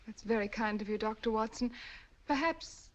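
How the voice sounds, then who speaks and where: An actress as a soft-spoken, scheming woman. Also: a little high, close to an everyday voice. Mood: polite. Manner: soft, polite, measured. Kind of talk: 1940s film acting. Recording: lo-fi 1940s film soundtrack.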